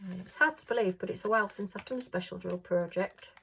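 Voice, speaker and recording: A woman chatting casually at home while she works on a craft, rambling and homely with lots of fillers, her voice a little hoarse. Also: medium pitch, quick pace, pushed and bright, slight background noise. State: slightly hoarse. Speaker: crafting vlogger.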